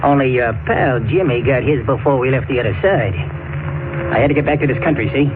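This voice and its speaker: A man's voice: medium pitch, brisk, natural voice. An actor playing a young escaped convict posing as a cabin boy, tough and slangy in a 1940s radio drama. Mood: menacing. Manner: slangy, tough-talking, uneducated grammar. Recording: tinny, noisy 1940s radio recording.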